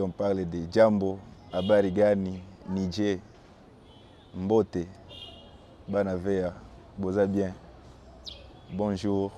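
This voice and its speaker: A young man from Bukavu with a Congolese French accent, Swahili his first language, calmly answering interview questions as a football goalkeeper. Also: sits low, slight background noise. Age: young adult. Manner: calm and measured, with small restarts.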